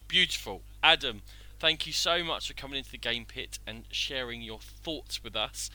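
A man's voice: medium pitch, lively intonation, moderate pace, pushed and bright, rough recording. A man co-hosting a podcast, thanking guests and introducing segments in an upbeat way. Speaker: podcast co-host.

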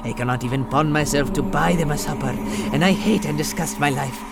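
A man's voice: on the high side, a little fast, vocal fry, very noisy. An actor playing a very old, poor fisherman, lamenting in declamatory archaic verse for an audio drama. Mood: despairing.

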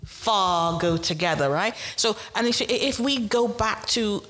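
A woman's voice: medium pitch, expressive, measured pace, a bit bright, low-quality recording. A woman from Trinidad, a guest on an academic panel, talking reflectively and often tagging her points with "right?". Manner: reflective, tags statements with "right?". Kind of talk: panel discussion.

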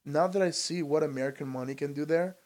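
A man's voice: a little high, fast talker, a little mellow. A young man from Albania in casual conversation, loose and chatty, saying "bro" and "like" a lot. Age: young adult. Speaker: podcast guest. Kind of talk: casual chat.

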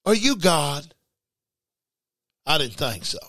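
A man's voice: fairly high pitch, big pitch swings, slow pace, bright, forceful tone, no room sound, studio quality. An angry man preaching in a confrontational, scolding way, firing off rhetorical questions and repeating his refrains. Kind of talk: fiery informal sermon.

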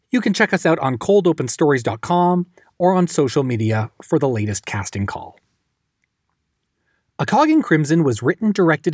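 A man's voice: a little high, breathy edge, no room sound, studio quality. A man giving a friendly, informal announcement at the end of an episode. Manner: upbeat, informal. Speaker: producer and host.

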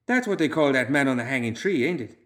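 A man's voice: medium pitch, lively intonation, quick pace, a bit bright, voice pushed up, natural voice. Man acting a bitter, hot-headed country lad. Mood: skeptical.

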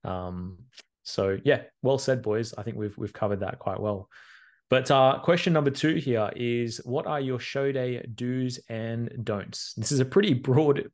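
A man's voice: medium pitch, a little mellow. A man co-hosting a podcast, agreeing with others and leading questions, with plenty of fillers. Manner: agreeable, lots of fillers and restarts, leads questions. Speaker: podcast co-host. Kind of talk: casual podcast chat.

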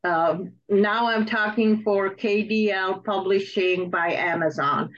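A woman's voice: medium pitch, takes it slowly. Female interview host, mid-range voice, conversational questioning, somewhat dull recording. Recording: dull, slightly muffled sound.

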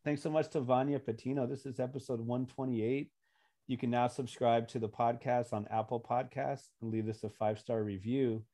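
A man hosting a podcast interview, supportive and warm toward his former student, with quick short replies. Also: mid-range voice, steady tone. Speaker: podcast host, former teacher. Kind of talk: interview chat.

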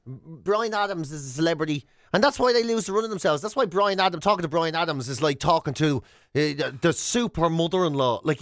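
An Irish man co-hosts a comedy radio show in a high, very lively voice. He rambles excitably as he tells stories and banters. The sound is dry and close. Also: dry recording.

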